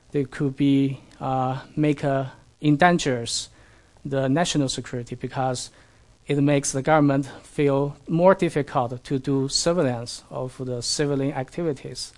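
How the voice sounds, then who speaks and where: A man whose first language is Chinese, giving an academic lecture in halting English with many fillers and asking the audience questions. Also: fairly high pitch. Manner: halting non-native English with frequent fillers.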